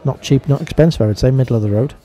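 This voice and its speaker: Relaxed, mellow-voiced man reviewing. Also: medium pitch, rapid-fire. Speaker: vlogger, restaurant reviewer. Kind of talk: casual voice-over review narration.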